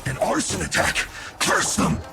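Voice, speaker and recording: A man voicing a furious enemy soldier in a video game, gravelly and shouting aggressively to his comrades. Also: moderate pace, over music, close to an everyday voice. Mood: outraged. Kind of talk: video game battle dialogue.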